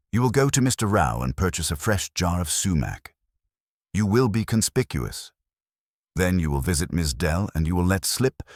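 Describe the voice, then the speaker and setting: A man voicing an imperious, cerebral detective in an audiobook, speaking formally and deliberately. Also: low-pitched, no room sound, studio quality, heavily acted.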